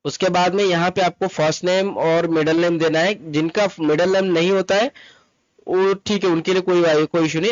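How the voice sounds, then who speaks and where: A man presents an informal tutorial in a somewhat high-pitched voice. He instructs step by step, repeating himself and dropping in English terms. The sound is very dry and a bit dull and lo-fi. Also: no echo. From Mumbai, India. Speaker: tutorial presenter, travel agent. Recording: dull lo-fi close dry recording. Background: voice-over to screen recording.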